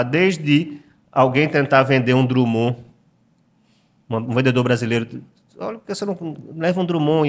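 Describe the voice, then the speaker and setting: Hesitant Brazilian man from Pernambuco. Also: medium pitch. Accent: Pernambuco Brazilian Portuguese. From Recife, Brazil. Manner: reflective, frequent hesitations and repeated words. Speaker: guest writer. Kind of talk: conversational interview.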